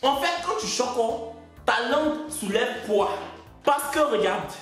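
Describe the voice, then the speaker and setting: A man's voice: very high voice, expressive, a bit bright. A man doing a comic rant, mocking and indignant, his voice leaping up and down all through. He sounds like he is in a very echoey room, with faint music playing underneath. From West Africa. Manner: indignant, mocking, drawn-out punchlines. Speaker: comedian. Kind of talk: stand-up comedy monologue.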